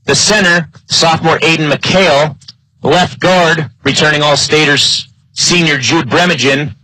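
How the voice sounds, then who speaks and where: An American man doing live football play-by-play. His voice is bright and forceful, set a little high, and recorded close and dry. He speaks as a game announcer keeping listeners on top of the action. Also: a little slow, no room sound, poor audio. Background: live high school football game broadcast.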